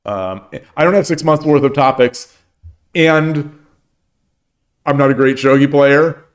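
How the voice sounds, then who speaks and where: A man's voice: medium pitch, measured pace. A man hosting a casual livestream, chatting at length and answering chat comments, with many restarts and fillers. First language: English. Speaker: streamer and host.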